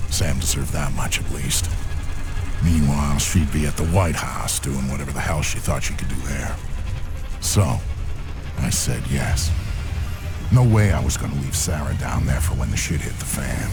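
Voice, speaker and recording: An actor playing a tough, loyal soldier, narrating a video game story in a weary, plainspoken way with a very deep voice. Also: male voice. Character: tough, loyal male soldier recounting his friend's story. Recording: music underneath.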